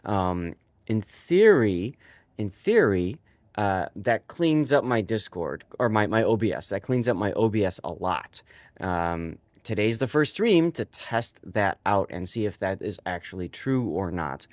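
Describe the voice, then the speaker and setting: A man's voice: on the low side, animated, a little slow, a little mellow, dry recording. A young adult man with a stuffy, congested voice, chatting casually and rambling with lots of fillers on a live stream from his home setup. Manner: rambling, many fillers and restarts.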